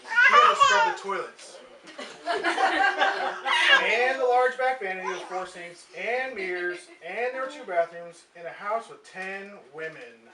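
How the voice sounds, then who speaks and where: A woman's voice: mid-range voice, sing-song, a little slow. An American woman reading a scripted family story aloud as a puppet show narrator. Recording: echoey, very noisy room recorded from far off.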